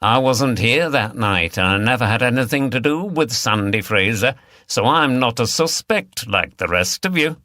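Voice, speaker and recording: A man's voice: mid-range voice, expressive, moderate pace, bright, forceful tone, no room sound, studio quality. A man voicing a confident, wry pub joker who teases his companions in an audiobook. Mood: teasing. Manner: teasing, wry.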